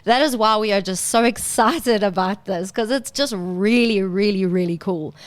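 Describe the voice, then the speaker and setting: A woman's voice: mid-range voice, moderate pace, no echo. A woman hosting a radio interview, gushing and effusive in her praise. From South Africa. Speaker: radio host. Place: radio studio.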